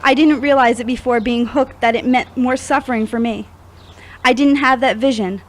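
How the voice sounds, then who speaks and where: Woman acting as a wounded, higher-voiced young woman confessing. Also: fairly even intonation, moderate pace, natural voice. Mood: somber confession. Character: wounded, confessional twenty-four-year-old woman, recovering addict. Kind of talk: scripted audio drama acting.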